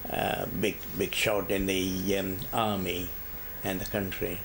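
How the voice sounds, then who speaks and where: A low, gravelly voice from an older man who was born in India. He answers hesitantly, with many pauses, fillers and repeated words. His voice stays flat and slow, with a faint hiss of noise behind it. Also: rough recording. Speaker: interviewee. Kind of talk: oral history interview.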